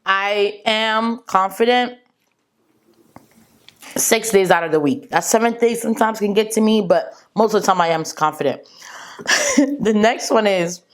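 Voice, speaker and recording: A woman's voice: mid-range voice, expressive, unhurried, long pauses. A young woman, a French native speaker, chatting sassily with viewers and calling them girl and honey. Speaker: beauty vlogger. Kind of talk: casual chat answering viewer assumptions.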